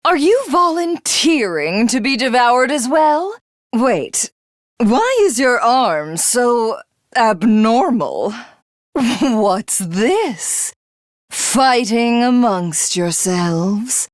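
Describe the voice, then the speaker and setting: A haughty alien villainess from a video game, with a fairly high voice that swings dramatically in pitch. She speaks very slowly and theatrically, as a menacing megalomaniac hungry to devour everything. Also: very animated, no room sound, studio quality, heavily acted. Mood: haughty curiosity.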